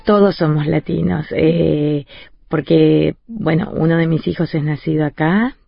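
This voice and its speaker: A Uruguayan woman with a Uruguayan Spanish accent, a guest on a radio interview, speaking softly and hesitantly with many restarts. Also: sits low, measured pace, vocal fry, breathy edge, dry recording. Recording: muffled, dry sound with faint music.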